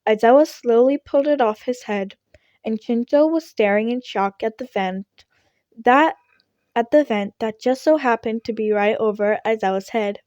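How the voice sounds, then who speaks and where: Female amateur narrator for scripted fiction, fairly high pitch, dry recording. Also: normal speed, darkish tone, dry recording, lo-fi sound.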